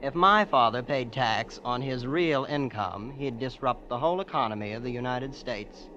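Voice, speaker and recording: An actor playing a smug young heir who boasts of his family's wealth in a calm, matter-of-fact way, in 1940s radio acting. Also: medium pitch, normal speed, a little hiss or hum, close to an everyday voice. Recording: dull old radio recording.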